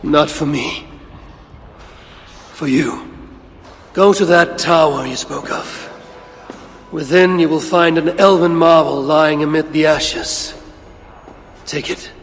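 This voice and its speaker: An actor playing a proud, battle-worn Norse high god in a video game cinematic, gravelly, solemn and deliberate. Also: male voice, fairly even intonation, in a large hall, a little hiss or hum. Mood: grave, commanding. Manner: solemn, theatrical, deliberate delivery.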